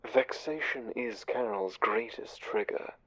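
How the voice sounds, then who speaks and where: A man playing a smooth, commanding, conniving spymaster angel in an audio drama, speaking with measured, condescending menace. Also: fairly low pitch, bright, forceful tone, dry recording, exaggerated voice, rough recording. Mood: condescending, scheming. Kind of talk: audio drama roleplay, one side of a conversation.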